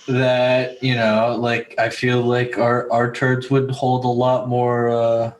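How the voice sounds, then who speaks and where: A man with a mid-range voice hosts a casual podcast chat. He talks in a blunt, loose way, swearing freely and often feeling his way haltingly through a sentence. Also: mid-range voice, completely flat delivery, rough recording. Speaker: podcast host.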